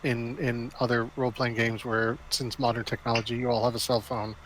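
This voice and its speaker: A man's voice: mid-range voice, little pitch movement, brisk, a crowd in the background, low-quality recording. A man playing in an online roleplaying game, chatting casually with the group. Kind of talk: casual chat. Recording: clear background noise.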